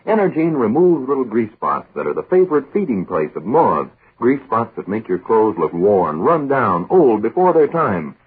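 Casting: vintage radio announcer, man, lively sales delivery with a very rough edge, narrow phone-like recording. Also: medium pitch. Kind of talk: 1940s radio announcing and ad reading.

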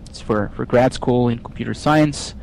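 An Israeli man talks through his work as an interview guest. He speaks in halting stretches full of "um" and restarts, and his voice sits in a middle register. A faint hiss runs under the recording. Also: mid-range voice, vocal fry, a little mellow, low-quality recording. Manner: hesitant, many fillers and restarts. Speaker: software engineer guest. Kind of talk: technical interview.

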